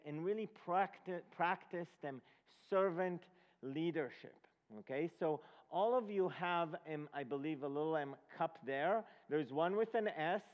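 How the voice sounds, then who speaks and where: A man from Israel, preaching and teaching a congregation in a halting, questioning manner. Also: fairly high pitch. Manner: halting, asks the listeners check questions. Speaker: guest preacher leading a Passover presentation. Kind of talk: sermon, ceremonial teaching to a congregation. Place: large reverberant room before an audience. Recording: dull lo-fi recording in a reverberant hall.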